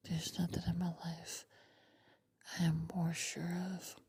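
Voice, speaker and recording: A very breathy, whispered voice, close and dry: a woman acting as a sleepy, adoring girlfriend in an ASMR roleplay. She speaks slowly and tenderly, soothing her partner toward sleep with intimate pillow talk. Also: lowish voice, completely flat delivery, a little mellow, no echo, full character voice. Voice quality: breathy, mostly unvoiced whisper.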